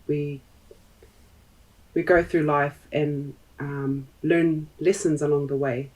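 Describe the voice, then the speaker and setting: Slow, thoughtful New woman. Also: low-pitched, fairly even intonation, darkish tone, far from the mic, poor audio. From New Zealand. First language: Tongan. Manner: thoughtful, pausing often with fillers. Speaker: podcast guest. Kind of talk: reflective interview talk.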